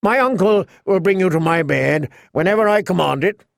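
A man's voice: sits high, moderate pace, gravelly, dry recording, clean studio sound, pitched above the performer's own voice. A man voicing an arrogant, cruel teenage king in an audiobook, with an entitled, taunting manner. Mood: imperious. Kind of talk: audiobook character voice.

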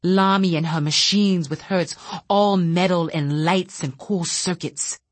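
A woman voicing a frail, nervous young male telepath who wails and snaps, husky-voiced. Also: on the low side, fairly even intonation, a little slow, no room sound. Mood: bitter, hushed. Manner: frantic, wailing, then bitter and snappish.

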